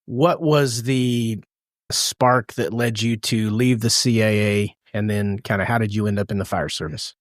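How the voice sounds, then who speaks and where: A man hosting a podcast, asking questions in a hesitant way that trails off softly. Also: lowish voice, fairly even intonation, a little slow, dry recording, studio quality. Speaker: podcast host. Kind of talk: interview questions.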